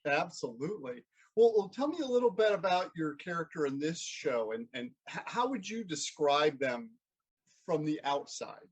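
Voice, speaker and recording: A man hosting a casual interview, asking questions in a halting way and repeating words as he forms them. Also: on the high side, sing-song, slightly rough, highs cut off. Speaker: interviewer, host.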